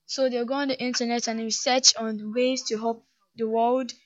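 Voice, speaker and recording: An earnest woman talks through her ideas in a fairly high voice, pausing now and then with fillers. The sound is dull and lo-fi. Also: level tone. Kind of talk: explaining ideas in an interview.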